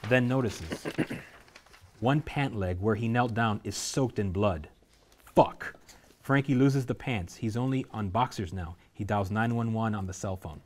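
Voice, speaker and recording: A man reading a screenplay's stage directions aloud at a table reading, steady and vivid. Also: on the low side, measured pace.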